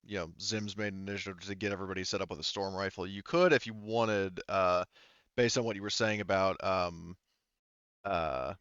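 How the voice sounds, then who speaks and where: Smooth, lowish-voiced male game master. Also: fairly bright tone, no echo, highs cut off. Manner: halting, informal. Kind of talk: casual tabletop game narration.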